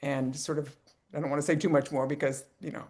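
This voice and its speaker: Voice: medium pitch, little pitch movement, fast, darkish tone. A person recommending films in a casual group discussion, often repeating and restarting phrases. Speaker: film club member.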